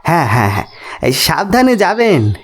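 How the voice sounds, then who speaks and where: Man doing a hesitant, high-pitched village postmaster. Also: wildly swooping pitch, slightly rough, exaggerated voice. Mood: deferential. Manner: halting, folksy, cautious. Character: deferential, hesitant village postmaster warning a traveler. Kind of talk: audio storybook character acting.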